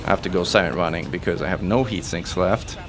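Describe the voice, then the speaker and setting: A man from Italy whose first language is Italian, giving excitable live commentary as he plays, talking through each move. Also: sits low, quick pace, music in the background. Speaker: streamer and commentator.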